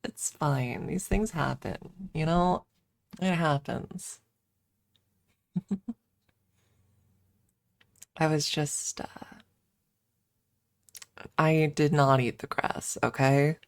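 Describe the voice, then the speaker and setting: A woman streamer chatting casually in a soft, hesitant ASMR style with playful self-deprecation, close to the microphone. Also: deep voice, very slow, creaky, long pauses, dry recording. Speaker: ASMR livestreamer. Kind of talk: ASMR, casual rambling chat.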